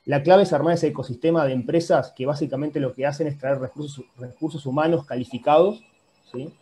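A man's voice: fairly high pitch, steady tone, a little fast, warm tone, breathy edge. A man in his forties with a Uruguayan Spanish accent, giving an informal, explanatory talk on a video call, often adding little checking questions. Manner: explanatory, punctuated with checking tags. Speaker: guest speaker, public-sector IT manager. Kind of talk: informal online panel presentation. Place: indoor room, video call. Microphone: earphone headset on video call.

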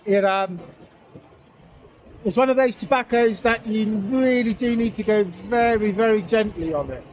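High-pitched middle-aged man chatting outdoors. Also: a little slow, a little mellow, dull audio. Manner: hesitant, rambling, self-correcting. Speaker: pipe-smoking vlogger. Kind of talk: casual outdoor vlog chat. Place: outdoors in a wooded valley. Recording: outdoor ambient noise, camera at a distance.

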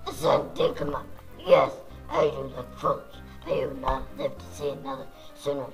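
A woman storyteller voicing a big, grumpy male bear in a low, gravelly growl. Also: steady tone, moderate pace, a little mellow, soft background music. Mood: menacing.

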